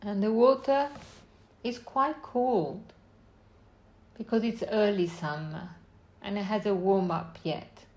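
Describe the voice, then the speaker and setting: Slow-paced woman guiding a meditation. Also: medium pitch, poor audio. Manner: unhurried short phrases with long pauses, gentle instructing. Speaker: meditation guide. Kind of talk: guided meditation, slow spoken visualization.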